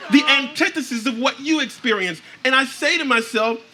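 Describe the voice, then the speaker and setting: A man's voice: very high voice, moderate pace, background noise. A man on a live panel, a panelist author, telling a story in an exclamatory way and laughing through his lines.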